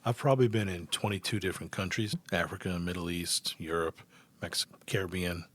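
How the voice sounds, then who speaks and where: Deep-voiced man, chatty podcast host. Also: fairly even intonation, a little fast, a bit bright. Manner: chatty, meandering questions. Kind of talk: casual interview questions.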